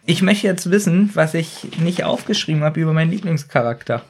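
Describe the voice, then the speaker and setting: A man's voice: a little high, expressive, brisk, a little hiss or hum. A man in his thirties co-hosting a podcast in casual conversational chat. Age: 30s. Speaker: podcast co-host.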